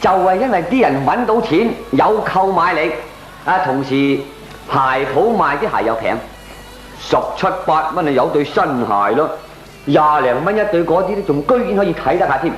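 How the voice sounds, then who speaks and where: Male actor as sensible chatty pal explaining stuff, hong kong cantonese, slightly high voice, radio comedy, echoey. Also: expressive, normal speed, lightly acted. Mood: patient, explaining. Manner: conversational, patiently explanatory. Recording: roomy, echoing radio drama recording.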